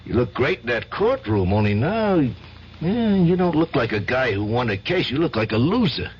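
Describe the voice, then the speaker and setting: An actor playing a tough, loyal mobster friend in a 1970s radio drama, streetwise and brash. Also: male voice, mid-range voice, sing-song, moderate pace, lightly acted. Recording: dull old radio recording, faint hiss.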